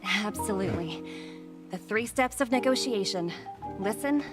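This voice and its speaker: A woman plays a diligent young career woman from a video game. Her mid-pitched voice is formal, articulate and earnest, with loud music playing behind it. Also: expressive, moderate pace, close to an everyday voice. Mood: earnest, analytical. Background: prominent music.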